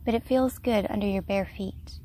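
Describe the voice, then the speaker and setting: A woman leading a guided meditation in a gentle, unhurried, soft-spoken way. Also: medium pitch, slight background noise. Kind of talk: guided meditation, slow soothing narration.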